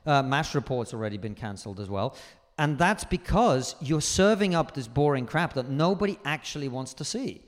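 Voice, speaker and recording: A comedian guest speaking in a live stage conversation, forthright and emphatic and swearing freely. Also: male voice, medium pitch, animated, moderate pace, long reverb tail. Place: live venue stage before an audience.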